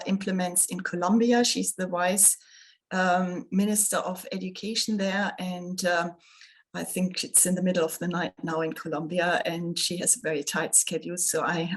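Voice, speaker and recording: A woman from Germany moderating an online roundtable on a video call, speaking hesitantly with many fillers. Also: fairly low pitch.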